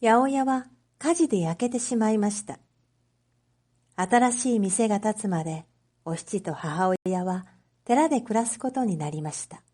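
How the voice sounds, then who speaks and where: A woman reading a story aloud as a narrator, in a measured, clearly phrased storytelling manner for reading practice. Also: medium pitch, animated, mellow. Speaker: narrator, Japanese reading teacher.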